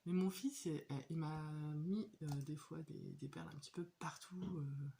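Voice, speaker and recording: A woman in her thirties with a cold, chatting casually and hesitantly about her crafting hobby, with many pauses and fillers. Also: sits low, fairly even intonation, vocal fry, dark tone, slight background noise. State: has a cold. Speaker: hobby vlogger. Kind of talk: casual show-and-tell chat.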